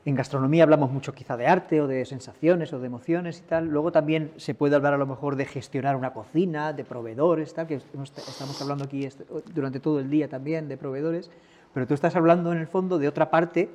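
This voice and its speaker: A man's voice: a little high, fairly even intonation, quick pace, a little mellow. A middle-aged man interviewing a guest on a conference stage, thinking aloud in loose, searching sentences. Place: large conference hall.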